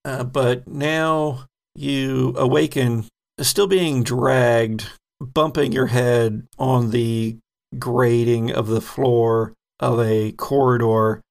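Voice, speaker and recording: Gravelly guy game mastering a sci fi horror rpg, lots of ums, takes his time describing stuff. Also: medium pitch, fairly even intonation. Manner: descriptive, unhurried with frequent ums. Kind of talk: narrating a tabletop horror game.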